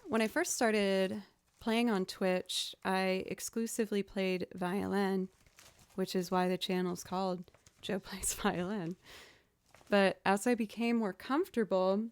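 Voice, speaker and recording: A young woman with a lower voice, chatting casually on a live music stream, self-deprecating and quick to laugh. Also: steady tone, clear, resonant tone, no echo.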